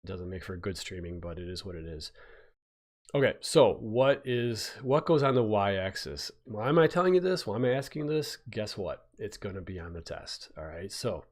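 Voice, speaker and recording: A man's voice: lowish voice, animated, normal speed. Casual, rambling male physics teacher. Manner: rambling, casual, many fillers and restarts. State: stuffy from a cold. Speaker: high school physics teacher. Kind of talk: live-streamed exam review lesson. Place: home, recording a YouTube live stream.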